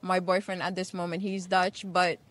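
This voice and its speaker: A young woman from the Caribbean, answering a street interview outdoors into a handheld mic in a measured, thoughtful way with many fillers. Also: lowish voice, level tone. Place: outdoors on a wet city square.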